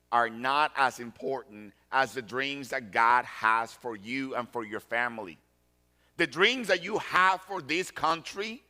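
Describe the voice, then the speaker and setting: Slow, bright-voiced pastor. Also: male voice, fairly high pitch. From Colombia. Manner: deliberate, emphatic repetition of key phrases. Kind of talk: sermon.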